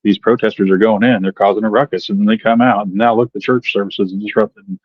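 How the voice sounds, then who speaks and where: A man's voice: lowish voice, steady tone, fast talker, slightly rough, dry recording. A man on a debate panel, explaining points at length in a casual way. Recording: dull lo-fi mic.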